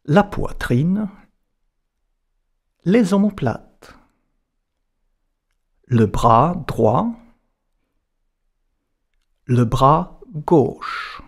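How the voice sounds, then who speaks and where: Very slow, creaky man guiding relaxation. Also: a little high, very animated. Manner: measured, instructional, with short separated phrases. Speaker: yoga and meditation teacher. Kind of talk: guided relaxation narration.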